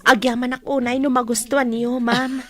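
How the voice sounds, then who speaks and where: A woman's voice: on the high side, flat delivery, moderate pace, fairly bright tone, lightly acted. An actress playing a modest, polite young woman painter in a radio drama. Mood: shy gratitude.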